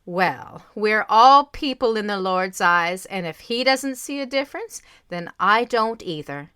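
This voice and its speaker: A woman acting as a kindly, motherly society dame in an audio drama. She drawls along slowly with big swoops of pitch, folksy, emphatic and plain-spoken. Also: expressive, bright, forceful tone, clear, resonant tone. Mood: kindly, frank.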